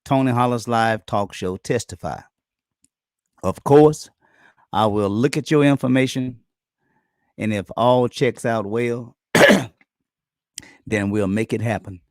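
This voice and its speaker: A man hosting a live-streamed community talk show, loose and halting with many ums and uhs, his voice going hoarse as he keeps clearing his throat. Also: mid-range voice, no echo, studio quality. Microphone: computer or phone on internet stream.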